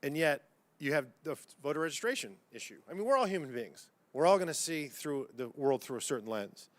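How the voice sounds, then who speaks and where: A man's voice: on the high side, lively intonation, fairly quick, fairly bright tone. Man, combative folksy congressman. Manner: folksy, combative, uses y'all. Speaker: congressman questioning witnesses. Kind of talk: pointed questioning at a legislative hearing.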